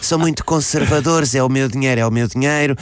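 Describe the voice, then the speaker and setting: An actor playing a smug, self-pitying Nigerian prince scammer who rambles in a deadpan, mock-philosophical way, as a radio comedy sketch guest. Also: male voice, mid-range voice, quick pace, a loud crowd, natural voice.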